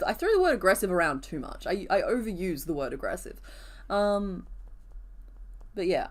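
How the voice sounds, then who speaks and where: Woman host, crafting live stream, voice a bit hoarse today, chatty and easygoing with her chat. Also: fairly low pitch. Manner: chatty, answers viewers' comments as she works. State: rough, hoarse voice. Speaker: crochet streamer. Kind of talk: casual live-stream chat while crocheting.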